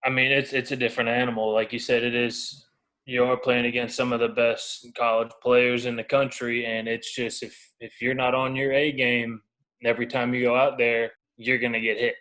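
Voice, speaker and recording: Young man, low voice, fairly even delivery. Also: moderate pace, rough recording. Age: 20s. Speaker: baseball pitcher, podcast guest. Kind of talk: casual interview chat.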